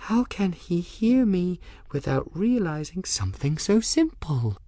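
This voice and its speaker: A narrator voicing an odd, fey, flustered young apprentice girl in an audiobook, breathy and rambling to herself in the third person. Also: medium pitch, sing-song, a little slow, dark tone, no room sound, studio quality, pitched above the performer's own voice. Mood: wistful. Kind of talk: audiobook character dialogue.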